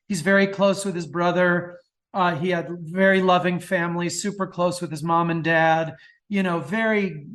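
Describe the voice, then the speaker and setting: Man talking haltingly as an interview guest. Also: sits high. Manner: halting, repeats words while thinking aloud. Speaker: guest author. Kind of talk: conversational interview answers.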